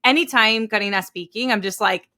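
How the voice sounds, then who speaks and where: A woman's voice: medium pitch, a little fast, pushed and bright. A woman hosting a podcast, interviewing a guest with effusive, gushing praise. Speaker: podcast host.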